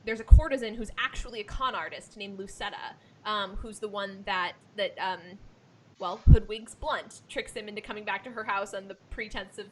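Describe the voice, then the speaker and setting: A woman who works as a theatre director, talking casually in a discussion in an emphatic, expressive way, repeating words for stress. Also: normal speed. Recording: clear background noise with low rumble.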